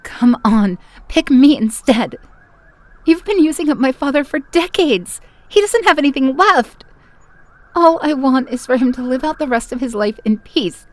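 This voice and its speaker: An actress playing a determined, self-sacrificing grown daughter in a horror audio drama, speaking with earnest, hushed intensity. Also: female voice, high voice, lively intonation, normal speed, no room sound. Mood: resolute.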